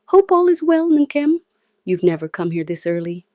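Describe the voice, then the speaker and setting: A woman's voice: lowish voice, very animated, normal speed, darkish tone, no room sound. A woman voicing a friendly, effusive Nigerian neighbour woman, beaming and chatty, in an audio story. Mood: cheerful greeting.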